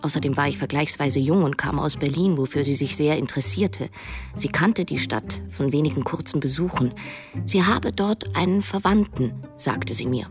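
Low-voiced, wry young novelist narrating. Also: female voice, moderate pace, over music. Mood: reflective, wry. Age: young adult. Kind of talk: scripted first-person radio drama narration.

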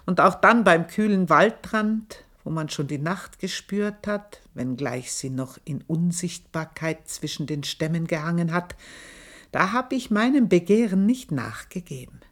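An actress playing an aged maidservant, proud, bitter and passionate, who tells her story in a slow, intense radio play monologue and sometimes sinks to a hushed whisper. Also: on the low side, expressive. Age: older. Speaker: old maidservant telling her life story.

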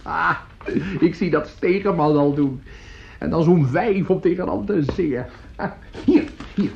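An actor playing an excitable, coarse opera conductor in a radio play, effusive and grumbling, stammering and swearing as he talks. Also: on the high side, normal speed, gravelly, a little hiss or hum. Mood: scornful, animated. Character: excitable, coarse, opinionated male opera conductor at his piano. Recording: dull old radio recording, piano music.

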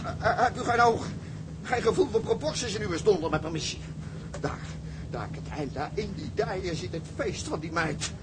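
A man's voice: high-pitched, animated, normal speed. A male actor playing a lovesick, hot-headed ship's stoker who stammers indignantly in an audio drama. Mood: pleading. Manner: stammering, pleading. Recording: clearly noisy audio track.

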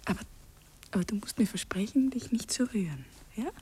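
An actress playing a coquettish, soothing young lady with an Austrian accent in a 1960s German radio drama, coaxing and gently teasing in murmurs. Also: mid-range voice, expressive, fairly quick, slightly rough. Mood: tender, firm.

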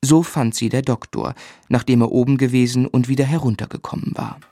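A wry man looking back on his own life played by an actor in a radio drama. He narrates in measured, literary phrases. The sound is dry and intimate, and his pitch is mid-range. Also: steady tone, dark tone, no room sound, natural voice. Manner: measured, storytelling.

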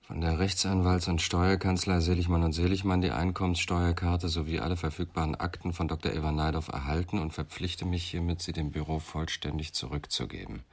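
An actor playing a brooding, middle-aged police inspector in a German radio crime drama. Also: male voice, deep voice, steady tone, a little fast, hardly any pauses. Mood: businesslike.

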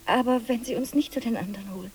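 Woman acting anxious, high-voiced, fearful wife. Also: fast, lightly acted. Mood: frightened, pleading. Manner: hesitant, repetitive, pleading. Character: anxious, fearful wife creature, clinging and doubting. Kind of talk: German radio drama acting. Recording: hissy background noise.

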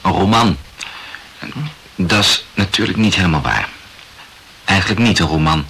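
An actor in a radio play playing a chatty, self-absorbed young amateur writer who rambles and corrects himself insistently. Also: male voice, sits low, normal speed, bright, forceful tone, slightly rough, full character voice. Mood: insistent, self-conscious. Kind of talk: literary radio play dialogue.

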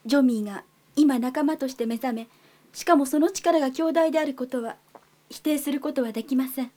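A woman playing a gentle but firm council member, formal and earnest, in an amateur radio drama. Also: sits high, a little fast, lightly acted. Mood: pleading.

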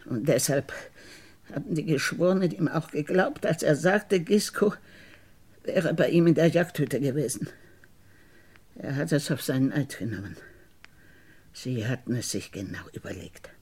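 An actress playing a bitter, wronged convicted woman in a radio crime drama, deliberate and insinuating, with a husky low voice. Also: animated, darkish tone. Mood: insinuating, resentful. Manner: deliberate, halting, insinuating, dropping into whispers. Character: bitter, wronged woman once convicted of murdering her sister.

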